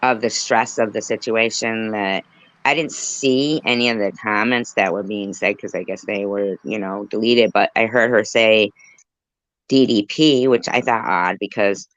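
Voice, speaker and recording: A woman talks casually on a chat panel, taking her time and often halting as she rambles. The sound is dry and close, but dull and low in fidelity. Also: no echo. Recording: dull lo-fi call audio.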